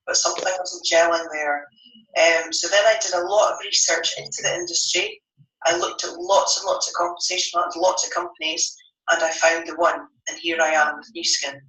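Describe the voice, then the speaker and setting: A woman's voice: lowish voice, bright, forceful tone, distant mic. A woman in her thirties, stuffy from a head cold, giving an upbeat, emphatic motivational training talk as a network marketer. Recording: roomy echo, voice set back from the mic.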